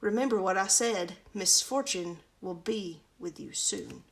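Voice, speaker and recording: A woman's voice: mid-range voice, lively intonation, unhurried, gravelly, slight background noise. Woman as an ominous, gloating storm-cloud fairy. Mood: gloating menace. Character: menacing dark cloud fairy, spiteful and gloating.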